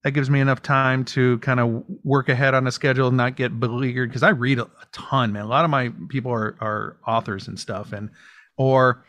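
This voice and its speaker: A man's voice: medium pitch, flat delivery, fairly quick, a bit bright, no echo. Rambling man, dry close sound. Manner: rambling, many restarts and fillers. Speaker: podcast guest, host of his own show. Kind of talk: casual interview chat.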